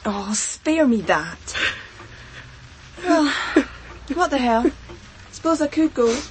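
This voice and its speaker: Teenage schoolgirl, defiant, taunting, slightly raised pitch, spiteful, radio drama. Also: sing-song, takes it slowly, a little hiss or hum, heavily acted. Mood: mocking, taunting. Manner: mocking, taunting. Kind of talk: broadcast radio drama acting.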